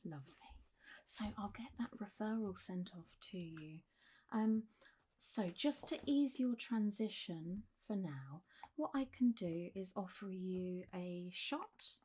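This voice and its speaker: The undead physician of a late-night ASMR clinic roleplay, acted by a woman, murmuring mellow, gentle reassurance across the examination couch. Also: medium pitch, lively intonation, slow pace, slightly rough. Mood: soothing, attentive. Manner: gentle, reassuring, hesitant, slipping into whispers. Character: calm, reassuring zombie doctor running a specialised clinic. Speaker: doctor in a roleplay. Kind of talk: soft-spoken ASMR roleplay.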